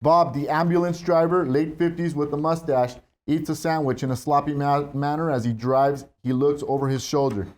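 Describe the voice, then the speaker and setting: A man's voice: a little high, fairly even intonation, moderate pace, few pauses, darkish tone. A man narrating screenplay stage directions at a table read.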